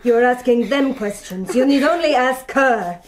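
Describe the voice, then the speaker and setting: An actress playing a bitter, accusing hotel proprietress, scornful and goading in a radio drama. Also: female voice, sits high, measured pace.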